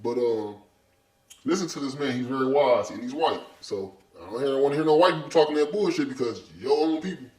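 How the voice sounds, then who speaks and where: A man's voice: medium pitch, brisk, a little mellow, recorded from a distance. A man from the United States hosting a video, reacting to a lecture in heated, rambling, slangy talk. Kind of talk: casual commentary. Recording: roomy, away from the mic.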